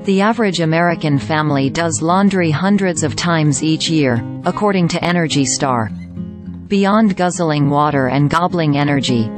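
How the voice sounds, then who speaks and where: A woman narrating a scripted news explainer in an even, measured read. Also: low-pitched. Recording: voice-over with loud music bed.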